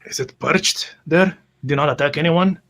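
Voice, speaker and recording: A man's voice: fairly high pitch, moderate pace, a bit bright, pitched above the performer's own voice. A man playing a cautious, alarmed adventurer in a tabletop roleplaying game, a little hesitant.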